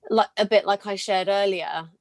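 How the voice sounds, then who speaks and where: Gravelly-voiced woman host, dry sound. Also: mid-range voice, normal speed, a little mellow, dry recording. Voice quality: slightly gravelly voice. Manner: earnest, encouraging, storytelling. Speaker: podcast host. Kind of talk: casual interview chat.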